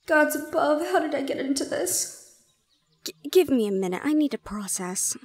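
A woman playing a shy, easily flustered young gorgon student in an audio roleplay, speaking in halting, exclamatory questions. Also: sits high, expressive, no room sound. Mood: flustered, questioning. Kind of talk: audio roleplay drama acting.

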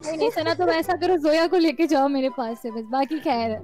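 Very high-voiced woman gaming with friends. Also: steady tone, a little fast, very noisy. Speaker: gamer in team voice chat. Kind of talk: casual chat while gaming.

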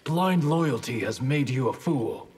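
An actor playing a stern, honorable ninja grandmaster in a video game, speaking in a terse, resolute, formal way. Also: male voice, mid-range voice, animated, measured pace, a little mellow, slightly rough. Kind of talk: video game character acting.

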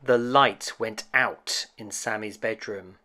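A man narrating a children's storybook as host, with gentle, deliberate, expressive phrasing. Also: lowish voice.

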